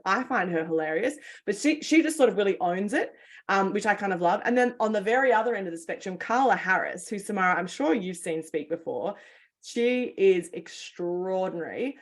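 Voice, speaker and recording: A woman hosting a webinar, native English speaker, talking in a casual, chatty way with frequent fillers. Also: mid-range voice. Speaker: webinar host.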